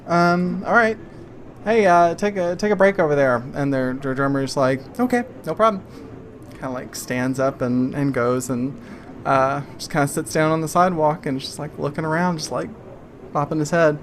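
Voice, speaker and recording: A woman's voice: low voice, normal speed, a little hiss or hum. A woman working as game master, improvising narration in a casual, hesitant, conversational way. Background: faint music.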